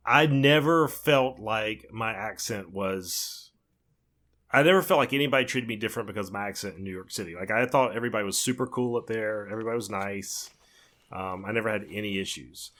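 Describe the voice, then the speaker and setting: A man's voice: fairly low pitch, brisk, a bit bright. A man with a Southern US accent co-hosting a podcast, talking casually and often hesitating and repeating words. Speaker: podcast co-host. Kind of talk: casual chat.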